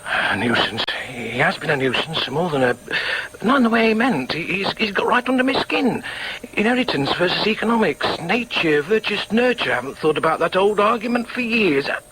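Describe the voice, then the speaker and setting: A man's voice: sits high, sing-song, a little fast, pushed and bright, full character voice, rough recording. An actor playing a troubled, self-doubting middle-aged father in a radio drama, with a hesitant, stammering Northern English delivery. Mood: brooding, unsettled. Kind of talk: radio drama with muttered inner monologue.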